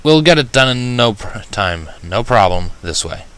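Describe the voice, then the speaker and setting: Man playing a weary, earnest, bright-voiced male pony. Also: lowish voice, lively intonation, normal speed, clear, resonant tone. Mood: tired, assuring. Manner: earnest, assuring. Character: weary, stubborn male pony, story's narrator.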